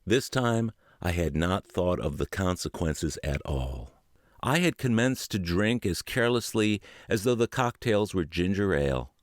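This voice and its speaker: A mature man with a deep, low-pitched voice reads as an audiobook narrator. His phrasing is measured and deliberate. The sound is dry, with no room echo. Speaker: audiobook narrator and podcast host.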